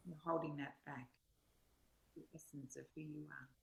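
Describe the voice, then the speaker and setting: A woman's voice: sits low, fairly even intonation, moderate pace, gravelly. A woman in reflective personal discussion, explaining her thoughts in an earnest, thoughtful way. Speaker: study group co-host. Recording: roomy, echoing sound.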